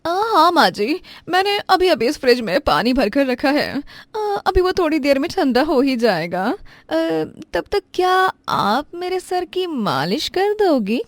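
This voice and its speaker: Female narrator as rich, miserly young daughter-in-law; high, animated, fake-sweet with a sharp, snobbish edge, dry studio sound. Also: a little slow, no echo. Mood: falsely sweet. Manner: affected sweetness turning sharp and condescending.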